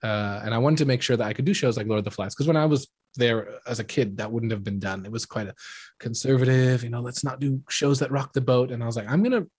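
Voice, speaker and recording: A man's voice: mid-range voice, fast talker, breathy edge, no echo, clean studio sound. A man from the Netherlands chatting casually as an interview guest, telling stories and acting out what people said. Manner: animated storytelling, acting out quoted remarks. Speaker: interview guest, school drama teacher. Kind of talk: casual anecdotal chat.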